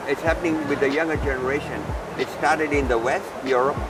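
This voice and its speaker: A man being interviewed as a company executive, explaining at length in earnest, deliberate, point-by-point phrasing. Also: on the high side, steady tone, heavy background noise. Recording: music laid under the voice. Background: background music.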